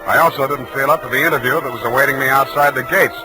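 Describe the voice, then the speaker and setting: A man's voice: mid-range voice, quick pace, lightly acted, poor audio. An American man playing a wry, hard-boiled private detective in 1940s radio style, with a dry, wisecracking delivery. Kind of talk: 1940s radio acting with first-person narration. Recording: old 1940s radio recording, music behind.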